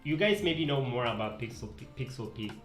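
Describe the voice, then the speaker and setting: A man's voice: medium pitch, expressive, brisk, soft background music. Italian man streaming, echoey room. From Italy. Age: 20s. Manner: rambling, self-correcting, reads chat aloud. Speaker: pixel art streamer. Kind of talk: casual live-stream chat while drawing. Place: home desk room. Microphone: headset.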